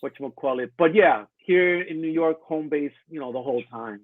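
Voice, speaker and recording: A man's voice: on the high side, moderate pace, no room sound. A man born in Colombia and raised in the US, a guest illustrator talking casually with many fillers over a remote line. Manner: relaxed, hesitant, many fillers. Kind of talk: casual interview chat. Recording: tinny phone-like remote line, dry.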